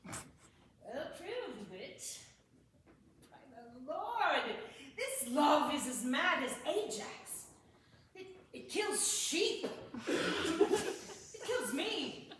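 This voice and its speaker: In an echoey room, heard from a distance, a woman plays a witty young lord who mocks himself for falling in love. The high voice swings widely as it exclaims its way through Shakespearean stage comedy. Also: sing-song, very slow, long pauses, fairly bright tone, full character voice. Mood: exasperated lovesick. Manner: theatrical, exclamatory. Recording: echoey room from far off, faint noise.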